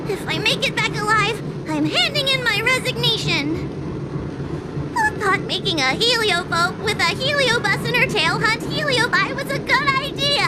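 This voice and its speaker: A woman's voice: extremely high pitch, heavy background noise. A woman playing a timid, tearful young exorcist girl in a video game, meek and hesitant. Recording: loud music behind the voice.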